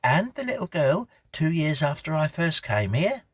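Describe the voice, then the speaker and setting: A man's voice: a little high, very animated, moderate pace, a bit bright, slightly rough, no room sound, voice pushed up, full character voice. A man voicing a comfortable, chatty country housekeeper woman in her fifties, gossiping in rambling rustic phrases for a storybook.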